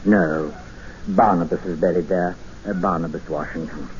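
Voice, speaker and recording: An actor playing a gentle, eerie older recluse obsessed with books, hesitant and politely insistent, in a 1950s radio drama. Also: male voice, sits low, steady tone, normal speed, natural voice. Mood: wistful. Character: gentle, eerie jungle recluse obsessed with Dickens, quietly menacing. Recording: muffled, hissy 1950s radio recording.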